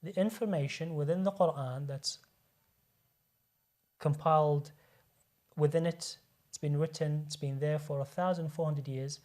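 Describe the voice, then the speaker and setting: A man's voice: fairly high pitch, steady tone, unhurried, darkish tone. Earnest man explaining at length, often restarting. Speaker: guest speaker, medical doctor. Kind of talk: explaining religion in a studio discussion.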